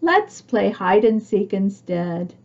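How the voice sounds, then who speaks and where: Woman reading as gentle, slow-spoken storybook man. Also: medium pitch, very animated, highs cut off, full character voice. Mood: tired, friendly. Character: gentle, tired storybook man, kind to his animal friends.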